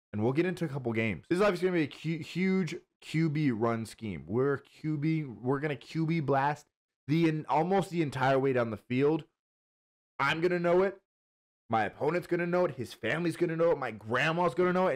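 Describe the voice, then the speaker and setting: A young man with a twang talks fast and loose, stuffy and nasal from allergies. His pitch sits in the middle for a man. He rambles excitedly as he walks through a video game strategy. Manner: hyped, rambling, Southern-tinged slang. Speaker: gaming YouTuber. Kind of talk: casual game tutorial commentary.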